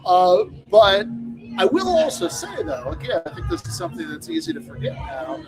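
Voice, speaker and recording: A man chatting casually on a podcast, halting often and circling back over his own phrases. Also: extremely high pitch, sing-song, rough recording. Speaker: podcast host. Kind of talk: casual chat. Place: bar. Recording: loud music behind the voice. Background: loud music.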